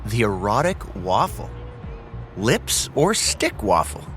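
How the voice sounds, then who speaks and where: A man's voice: fairly low pitch, big pitch swings, takes it slowly, fairly bright tone, faint music behind, exaggerated voice. An actor playing an easygoing, bashful man in a romantic comedy audio drama, talking in a hesitant, wry, self-deprecating way. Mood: amused, incredulous.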